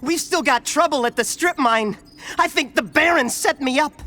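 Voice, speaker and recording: A man's voice: very high voice, normal speed, bright, forceful tone, soft background music. A man playing a paranoid, anxious sci-fi technician in a video game, stammering nervously as he talks. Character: jittery, paranoid male technician in a sci-fi city. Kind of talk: animated video game voice acting.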